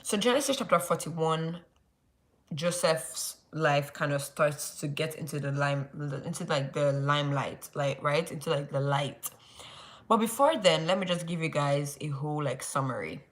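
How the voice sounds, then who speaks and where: A woman's voice: low-pitched, normal speed. A young woman with a Nigerian accent hosting a casual late-night Bible study livestream, rambling and often restarting her sentences with "like". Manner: rambling, restarts, heavy use of "like".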